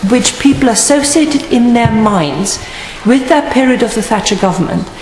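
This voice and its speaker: A woman giving an earnest, measured parliamentary tribute speech. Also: a little high, expressive, darkish tone, a little hiss or hum. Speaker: member of parliament. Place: large debating chamber. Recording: echoey hall, distant mic.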